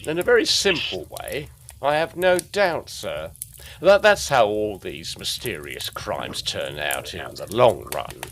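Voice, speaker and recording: Gruff old-time detective, unhurried, halting, plain-spoken, gothic audio drama, some noise behind. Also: male voice, lowish voice, sing-song, fairly bright tone, exaggerated voice. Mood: matter-of-fact. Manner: deliberate, halting, working man's turns of phrase.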